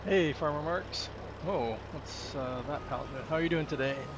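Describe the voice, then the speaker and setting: A man with a raspy voice gives easygoing let's-play commentary from a small room at home. He talks loosely and chuckles between remarks. Loud background noise runs under his voice the whole time. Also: a little high, normal speed. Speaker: gaming channel host.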